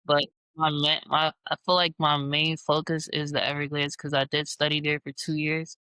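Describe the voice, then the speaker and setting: Relaxed young male guest in his twenties, low-key and smooth-toned. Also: normal speed, dry recording. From Jamaica and New York. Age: 20s. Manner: relaxed, easygoing, with casual fillers. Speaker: guest scientist. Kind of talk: casual interview answers.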